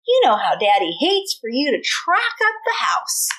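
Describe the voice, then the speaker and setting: Woman acting bossy Texas cowboy stepbrother, high and loud. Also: huge pitch swings, moderate pace, full character voice, low-quality recording. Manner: taunting, loud, commanding.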